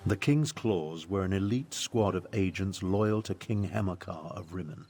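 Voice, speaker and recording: An actor playing a proud, touchy, dry-witted male spellcaster in a video game, speaking formally and deliberately. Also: sits low, a little mellow. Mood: grave, explanatory.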